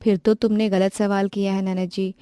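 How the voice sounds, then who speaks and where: A woman's voice: medium pitch, little pitch movement, normal speed, warm tone, smooth, no room sound, studio quality, natural voice. A woman voicing a stubborn young village daughter-in-law who defends herself insistently. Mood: defiant.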